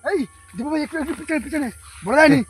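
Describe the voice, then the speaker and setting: A man hosting a ghost-hunting vlog outdoors in the woods at night, jittery and excitable, repeating words. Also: very high voice, fast, a little hiss or hum. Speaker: vlogger host.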